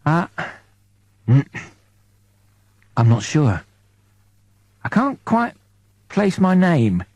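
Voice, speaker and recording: A man's voice: on the high side, wildly swooping pitch, extremely slow, a little mellow, dry recording, full character voice. A man playing a bewildered, alarmed man waking from sedation in an audiobook, groping for words and questioning in disbelief. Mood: confused, groping.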